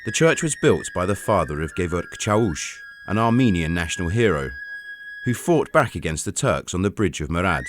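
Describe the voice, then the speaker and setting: A man narrating a travel documentary in an earnest, measured storytelling manner, recorded as a voice-over. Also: low voice. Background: faint background music.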